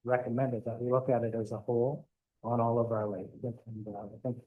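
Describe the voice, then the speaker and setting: A man speaking at a township council meeting, cautious and measured in his views. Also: medium pitch, level tone, husky. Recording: muffled meeting-room audio.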